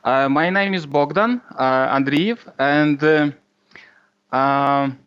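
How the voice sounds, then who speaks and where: A man with a Ukrainian accent calling in to a show, speaking haltingly and searching for words as he puts his questions. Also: a little high, fairly even intonation, a bit bright, clean tone. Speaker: call-in guest. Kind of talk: hesitant conversational questioning. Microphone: internet video or voice call. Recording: dull Skype call audio.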